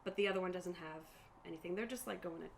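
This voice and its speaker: A woman's voice: fairly low pitch, fast talker, creaky. A woman running a tabletop game as game master, explaining the scene informally as she improvises. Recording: faint room noise.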